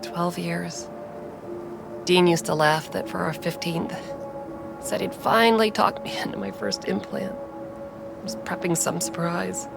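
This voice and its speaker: An actress playing a tough, candid street racer and mechanic in a video game, casual and plain-spoken. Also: female voice, on the low side, animated, measured pace, vocal fry, pauses often. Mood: wistful, confiding. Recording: loud music and engines behind.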